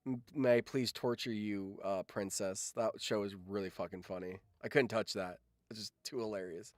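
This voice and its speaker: A man's voice: fairly low pitch, fairly even intonation, normal speed, no room sound, studio quality. Casual, sweary guy livestreamer. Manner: rambling, sweary. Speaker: livestream host.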